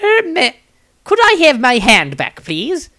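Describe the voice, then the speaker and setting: A man's voice: extremely high pitch, wildly swooping pitch, takes it slowly, pushed and bright, dry recording, clean studio sound, voice pushed up, heavily acted. A man voicing a timid male wizard in a fantasy audio story, hesitant, anxious and pleading. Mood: timid, pained. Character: timid, nervous male wizard afraid of women.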